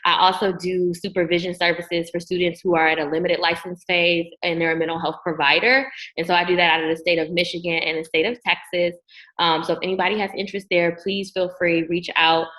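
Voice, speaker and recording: Upbeat woman w detroit accent, super bright forceful voice, chatty podcast guest. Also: on the low side, brisk, rough recording. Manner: animated, upbeat, laughs often. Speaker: guest psychologist. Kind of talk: casual podcast conversation.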